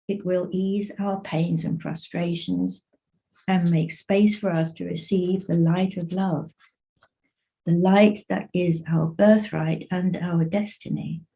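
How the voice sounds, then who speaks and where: A woman reading a prepared statement in an earnest, measured way. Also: on the low side, creaky, mellow.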